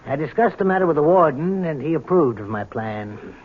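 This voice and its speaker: An actor playing a humane, persuasive prison doctor with a hesitant, coaxing manner in an old-time radio drama. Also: male voice, on the high side, lively intonation, brisk, phone-quality sound. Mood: earnest persuasion. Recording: thin, noisy old radio broadcast.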